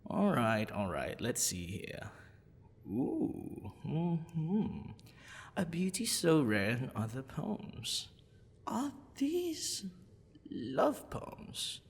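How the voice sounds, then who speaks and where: Man acting a slow, husky, flirty dragon-blooded librarian. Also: on the high side, wildly swooping pitch, long reverb tail, heavily acted. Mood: teasing, curious.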